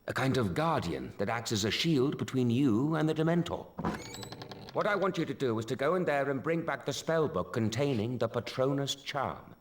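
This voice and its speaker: Here is a kindly wizard professor played by a man in a video game. He explains things gently and earnestly, in a middle-register voice with very varied, measured intonation. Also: mid-range voice, lightly acted. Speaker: teacher. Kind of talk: video game cutscene acting.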